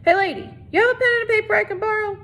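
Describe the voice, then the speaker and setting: Woman doing a high, excitable teenage boy. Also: racing, full character voice. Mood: chummy. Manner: slangy, eager. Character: excitable teenage camp boys, rough but friendly. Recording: noisy recording with low rumble.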